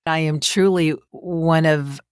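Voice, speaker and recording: A middle-aged woman hosts a casual interview in a distinctly low voice. She is effusive and encouraging with her guest. The sound is dry and close, free of room echo. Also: fairly even intonation, slow pace, clean tone. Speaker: podcast host.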